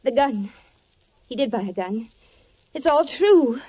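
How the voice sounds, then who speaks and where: An actress playing an anxious, secretive young wife with insistent melodramatic delivery in a 1950s radio drama. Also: female voice, sits high, lively intonation, unhurried, dark tone, husky, dry recording, full character voice. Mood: panicked.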